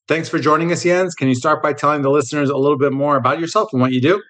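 A man's voice: a little high, rapid-fire, a bit bright. A man hosting a podcast, introducing the show and interviewing a guest. He often stumbles over repeated words. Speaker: podcast host.

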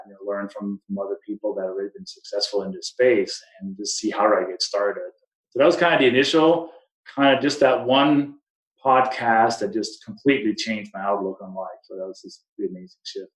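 A man's voice: fairly low pitch, brisk. A man from Denmark with a Danish accent, a guest talking casually in an interview, rambling with many restarts. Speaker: guest investor. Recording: echoey room.

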